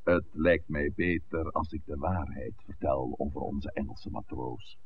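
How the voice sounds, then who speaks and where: An actor playing a wry, persuasive village priest in a 1960s radio drama, speaking in a measured, articulate, lecturing way. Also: male voice, rumbling low. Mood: earnest, explanatory. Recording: muffled, hissy 1960s radio recording.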